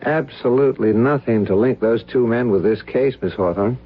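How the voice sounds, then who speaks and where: An actor playing a courteous, probing investigator in a radio drama, with a tactful, matter-of-fact manner. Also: male voice, mid-range voice, normal speed, over music, close to an everyday voice. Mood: tactful concern. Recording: dull, noisy old radio broadcast.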